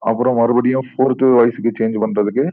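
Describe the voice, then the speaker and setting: A man's voice: medium pitch, steady tone, fast, dry recording. A man speaking through a slightly hard voice-changer preset, demonstrating the product. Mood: plain, instructive. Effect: electronic voice changer. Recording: phone-like audio with faint noise, clicks.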